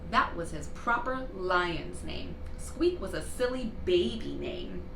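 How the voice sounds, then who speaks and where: A woman narrates a children's story slowly and expressively, leaning on key words for drama. The recording is roomy and distant, with clear background noise. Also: lowish voice, lively intonation. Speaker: storybook reader.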